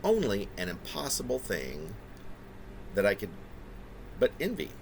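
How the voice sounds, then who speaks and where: A man reading his own reflective, autobiographical poetry in halting, deliberate phrases that wind through long, wordy sentences. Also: on the low side, huge pitch swings. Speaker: poet reading own work.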